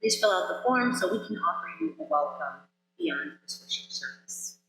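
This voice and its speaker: Bright-voiced woman minister in a roomy space. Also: lowish voice, expressive, normal speed, slight background noise. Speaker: minister welcoming a congregation. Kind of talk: church service welcome and announcements. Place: indoors in a church sanctuary. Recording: roomy, echoing church sanctuary.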